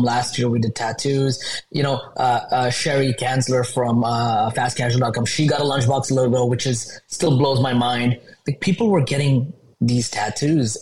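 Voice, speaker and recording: A man in his thirties from Bangladesh, a podcast guest talking in a casual, upbeat way with many pauses and restarts. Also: mid-range voice, darkish tone, slight background noise, poor audio. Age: 30s. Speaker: podcast guest, tech company founder. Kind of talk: casual interview chat.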